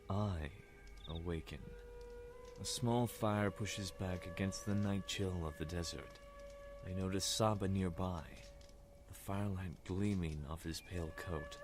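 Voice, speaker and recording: Male actor as haunted narrator, low voice, solemn, brooding, audio drama monologue, prominent music underneath. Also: a little slow. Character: brooding man narrating his haunted recurring desert dreams.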